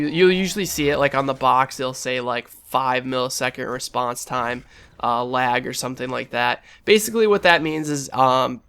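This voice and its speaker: A young man commentating over his gameplay in a casual, rambling, enthusiastic way, sounding stuffy and a little hoarse. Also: mid-range voice, pushed and bright. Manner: rambling, enthusiastic, lots of fillers and restarts. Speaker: gaming channel host.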